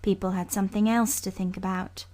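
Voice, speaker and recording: Female narrator, classic novel audiobook: medium pitch, composed, sombre, scripted storytelling delivery. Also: fairly even intonation, a little fast, darkish tone.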